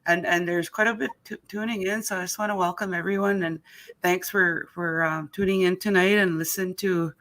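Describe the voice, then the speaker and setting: Bright-voiced woman hosting online. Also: on the low side, steady tone, brisk. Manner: hesitant, reads out viewer comments, many fillers. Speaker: host. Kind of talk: hosting a live online interview. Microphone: video call.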